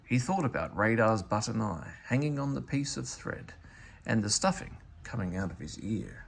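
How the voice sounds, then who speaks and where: Animated male storybook reader, gravelly edge. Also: fairly low pitch, moderate pace, slight background noise. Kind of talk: expressive children's read-aloud narration.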